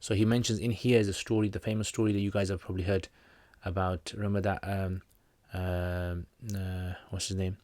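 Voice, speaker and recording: Low-voiced man chatting casually. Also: flat delivery, a little fast, hardly any pauses, darkish tone, no room sound. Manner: jokey, rambling, with slangy asides. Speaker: Islamic teacher hosting a livestream Q&A. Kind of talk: casual livestream chat, answering viewer comments.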